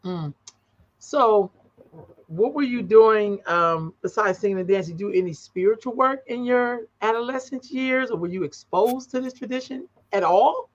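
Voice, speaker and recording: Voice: mid-range voice, normal speed, muffled recording. A host interviewing a guest, encouraging them with frequent affirmations. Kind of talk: conversational interview.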